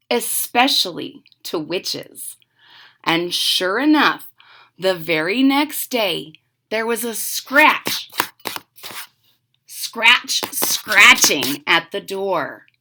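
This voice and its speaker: A woman's voice: on the high side, sharp tone. A woman reading a children's story aloud expressively as host, with chatty asides and questions to young listeners. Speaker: storybook reader and channel host.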